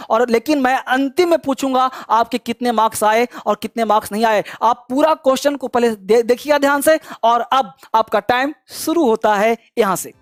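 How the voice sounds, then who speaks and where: A man runs an online English class, quizzing students at a brisk clip. He teases and scolds along the way. His voice sounds dry, with no room echo. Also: very high-pitched. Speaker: English teacher. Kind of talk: online spoken English quiz lesson.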